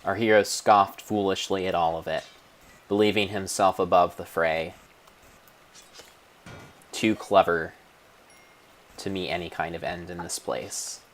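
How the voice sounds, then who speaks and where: A man delivering a mock-dramatic storybook narration of a game, with grandiose, theatrical and deliberate phrasing. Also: low voice, steady tone, slight background noise. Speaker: streamer narrating own gameplay.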